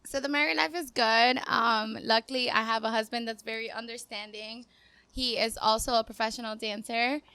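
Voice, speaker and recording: A woman's voice: on the high side, steady tone, moderate pace, sharp tone, clean tone, no echo. A woman in her twenties from Cuba, a dancer chatting casually as a podcast guest in an upbeat, talkative way. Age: 20s. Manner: upbeat, chatty, laughs easily. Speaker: guest, dancer and business owner. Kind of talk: casual interview talk.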